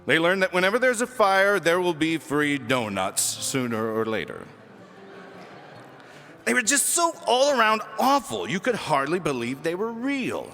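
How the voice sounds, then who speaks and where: A man's voice: a little high, big pitch swings, unhurried, pushed and bright, big-room sound, faint music behind. A man reading a children's book aloud as a storyteller, with theatrical, emphatic delivery. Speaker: audiobook reader.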